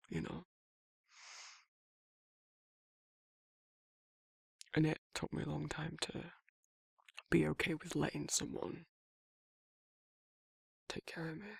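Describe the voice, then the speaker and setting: A man playing a gruff but shyly fond werewolf in an intimate ASMR audio drama, speaking in a husky, halting, stammering way. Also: fairly high pitch, no echo, full character voice. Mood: awkward, tentative. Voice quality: husky, gravelly. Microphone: close microphone, dry sound.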